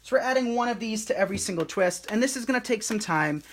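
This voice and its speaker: A man hosting a live craft tutorial, talking viewers through each step in a soothing, relaxed and unhurried way. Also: high-pitched, slight background noise.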